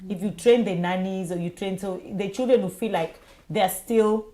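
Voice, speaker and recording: Animated woman hosting a chat. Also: medium pitch, normal speed. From Kenya. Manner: animated storytelling, acts out voices. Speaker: talk show host. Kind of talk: casual panel chat.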